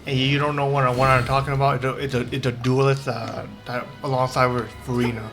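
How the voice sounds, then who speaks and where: A man chatting casually as a game streamer, rambling and halting, often repeating himself. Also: mid-range voice, level tone, vocal fry, over music. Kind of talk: casual livestream chat.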